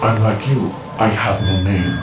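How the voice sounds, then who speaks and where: A male actor plays a cool, cryptic messenger in a video game drama. He is formal, measured and condescending, in a fairly low register. The voice echoes, with clear music underneath. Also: steady tone, lightly acted. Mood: cool, superior.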